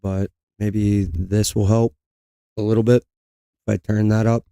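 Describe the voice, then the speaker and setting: A man's voice: lowish voice, little pitch movement, a little slow, vocal fry, warm tone, clear, resonant tone, no room sound. A man streaming a video game in casual live chat, with his voice giving out as he talks. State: losing his voice.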